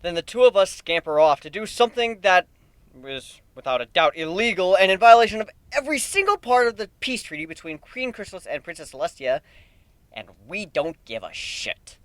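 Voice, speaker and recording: A man's voice: sits high, very animated, a little fast, dry recording. A man giving a theatrical, emphatic dramatic reading of a first-person fantasy story. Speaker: narrator of fan fiction.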